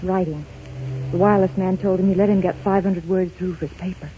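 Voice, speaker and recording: A woman's voice: fairly low pitch, steady tone, a little fast, lightly acted. Woman acting a wistful, fragile wife, muffled old radio. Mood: resigned, sad. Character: frightened, fragile young wife on a sinking ship. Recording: muffled, hissy 1930s radio broadcast.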